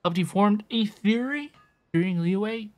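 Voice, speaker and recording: A woman's voice: medium pitch, measured pace. A woman streaming a game, chatting casually and slangily with viewers and often repeating her phrases. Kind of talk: casual livestream chat over gameplay.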